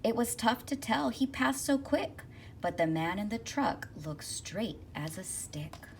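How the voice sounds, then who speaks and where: A woman reading a rhyming children's picture book aloud expressively, with rhythmic, deliberate phrasing. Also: on the high side, animated, vocal fry. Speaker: school counselor reading aloud. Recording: distant mic with faint noise.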